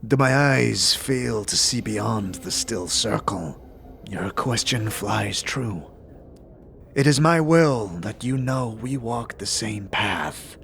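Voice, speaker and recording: A man voicing a proud, solemn, terse fantasy warrior with formal, measured, archaic phrasing in an audio drama. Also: fairly low pitch, animated, vocal fry, fairly bright tone, slight background noise, heavily acted. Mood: stern, formal.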